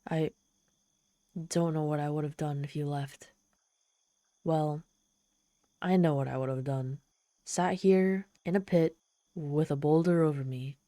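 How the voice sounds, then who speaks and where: Casting: woman to play a trapped tsundere demoness, low and soft-toned, proud yet desperately lonely, close dry ASMR roleplay delivery. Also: no room sound. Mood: vulnerable, lonely. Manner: exasperated, sardonic, pleading, halting when vulnerable. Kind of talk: ASMR roleplay monologue, dramatic storytelling.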